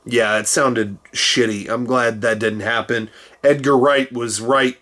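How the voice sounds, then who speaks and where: A man's voice: fairly even intonation, unhurried, fairly bright tone. Rambling, jokey man with a cold. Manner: rambling and jokey, with sudden jumps in pitch. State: getting over a cold. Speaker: host. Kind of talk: casual chat. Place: cluttered room at home.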